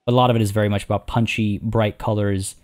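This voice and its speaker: A man with a hoarse, tiring voice, chatting casually as he plays a zoo-building game and rambling through his thoughts. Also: fairly low pitch, level tone, fast, a little mellow. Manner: rambling, chatty, many restarts and fillers. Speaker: let's play host. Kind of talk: casual gameplay commentary.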